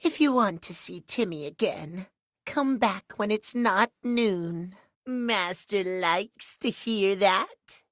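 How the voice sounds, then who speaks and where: A man's voice: high-pitched, slow pace, fairly bright tone, no echo, clean studio sound. A man voicing a vain, needy, childish male cat clock in a video game, using pouty third-person baby talk. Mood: pouty, wheedling. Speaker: voice actor. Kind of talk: video game character acting.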